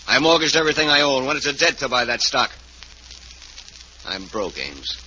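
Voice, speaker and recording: Menacing male investor who lost everything, very sharp voice, noisy vintage radio sound. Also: medium pitch, big pitch swings, normal speed. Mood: bitter, accusing. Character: bitter, ruined male investor, quietly menacing. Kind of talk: 1940s radio acting. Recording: dull, noisy 1940s radio recording.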